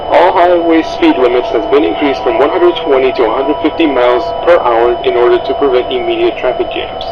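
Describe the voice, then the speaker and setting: A man's voice: medium pitch, a little fast, over loud music, natural voice. A man playing a grave government representative giving a solemn, formal emergency address over a muffled, humming broadcast. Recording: muffled, noisy radio-style broadcast with hum.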